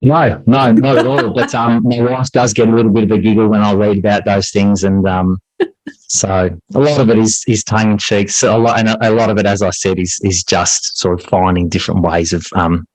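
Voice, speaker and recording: A man answering interview questions conversationally as a podcast guest, reflective and halting as he pieces his thoughts together. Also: fairly low pitch, flat delivery, a little mellow, no room sound, low-quality recording. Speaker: podcast guest, teacher and writer.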